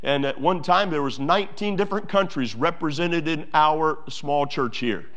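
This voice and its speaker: A man's voice: a little high, moderate pace, a bit bright. A pastor preaching a sermon to his church, emphatic, with repeated phrases and many fillers.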